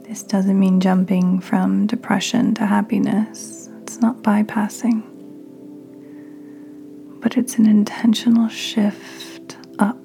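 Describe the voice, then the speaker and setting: A woman podcast host leading a guided meditation in a gentle, soothing, breathy near-whisper. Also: medium pitch, flat delivery, slow pace. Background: soft music.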